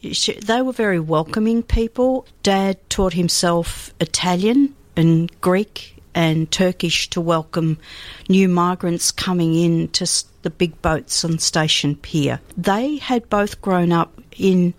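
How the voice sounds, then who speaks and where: An older Australian woman from Melbourne, a radio guest telling reflective stories about her life with pauses and restarts. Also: on the low side, no room sound. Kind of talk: conversational storytelling.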